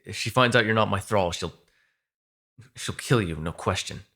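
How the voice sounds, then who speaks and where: A man playing a protective, sardonic male vampire in an ASMR audio roleplay, talking intimately and haltingly, with swearing and sighs. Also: on the low side, gravelly, sharp tone, full character voice. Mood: anxious, explaining.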